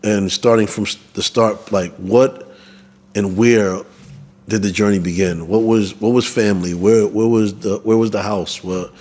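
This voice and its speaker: Low-voiced New host, rambling questions. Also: male voice, steady tone, moderate pace, a little mellow. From the Bronx. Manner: loose, searching phrasing with restarts. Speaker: podcast host. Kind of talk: casual interview.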